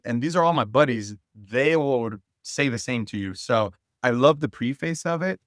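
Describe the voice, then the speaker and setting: Guy from socal chatting as a guest, earnest and informal, mid pitch, pretty dry audio. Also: no room sound. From Santa Ana, California. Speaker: interview guest, youth soccer advocate. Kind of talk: conversational personal storytelling.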